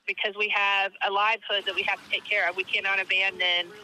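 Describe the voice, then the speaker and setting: A man's voice: high-pitched, level tone, quick pace, pushed and bright, rough recording. A man answering in a news interview, repeating words as he explains.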